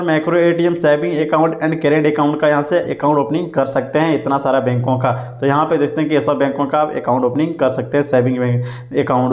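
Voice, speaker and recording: A man's voice: fairly high pitch, a little mellow, echoey room. A man narrating a phone screen-recording tutorial, listing app features one by one.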